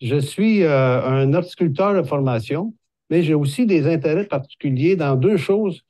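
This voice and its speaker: Man in his 60s, Québécois accent, fairly high voice, measured explanatory talk, dry close sound. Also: expressive, dark tone, dry recording. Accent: Québécois French. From Quebec. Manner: measured, hesitant with frequent fillers. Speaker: horticulturist presenting a video.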